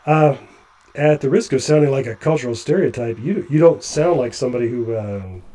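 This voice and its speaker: An actor playing a nervous, chatty male doctor climbing along a ship's hull, halting with stammering restarts. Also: mid-range voice, animated, warm tone, natural voice. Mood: anxious. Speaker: physician on a spacewalk. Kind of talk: audio drama acting.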